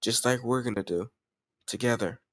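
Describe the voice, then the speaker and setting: A man acts out a self-assured mafia boss who adores his partner. He speaks in a mid-pitched male voice, affectionate and confident, as intimate romantic roleplay audio. The sound is dry, with hardly any room on it. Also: normal speed, dry recording, natural voice. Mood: loving, proud. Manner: affectionate, self-assured, addressing a lover directly.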